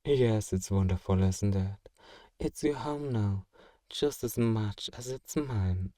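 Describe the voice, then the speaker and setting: A man playing a kind, possessive vampire master in an intimate ASMR roleplay, speaking in a gentle, genteel, coaxing and doting way. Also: lowish voice, slow pace, no room sound, studio quality. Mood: warm, reassuring. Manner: soothing, coaxing, politely doting.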